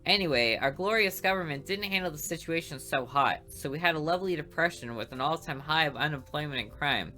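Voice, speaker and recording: A woman voicing a sarcastic, lazy male high school student in a game, talking in a dry, smug drawl. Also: a little fast, hardly any pauses, bright, forceful tone, faint music behind.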